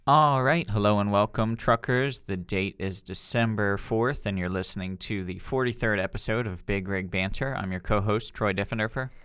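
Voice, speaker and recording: A man's voice: on the low side, normal speed, few pauses, a bit bright, clean tone, dry recording, studio quality, soft background music. A young man co-hosting a podcast with casual, conversational banter. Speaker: podcast co-host.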